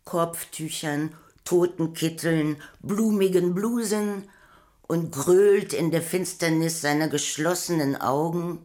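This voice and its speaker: A woman narrating a poetic radio play from a script in a measured, deliberate way. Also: on the low side, dark tone.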